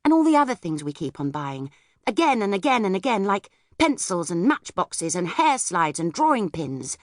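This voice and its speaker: A woman's voice: medium pitch, very animated, moderate pace, no room sound, studio quality, natural voice. A woman voicing an eager, impatient young girl in an audiobook, pleading and insistent. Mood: matter-of-fact. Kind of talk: audiobook character voice.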